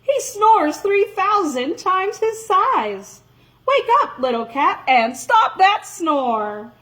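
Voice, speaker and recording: A woman voicing a sleepless, insistent boy in a children's storybook, calling out in loud, commanding, drawn-out phrases. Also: very high-pitched, big pitch swings, voice pushed up, full character voice. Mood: amazed, insistent.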